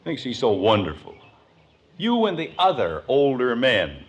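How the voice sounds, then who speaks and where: A man's voice: medium pitch, wildly swooping pitch, a little slow. An actor playing a proud, touchy father of forty who blusters competitively in a 1950s radio sitcom. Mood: sulky boasting. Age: middle-aged. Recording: noisy old radio broadcast recording.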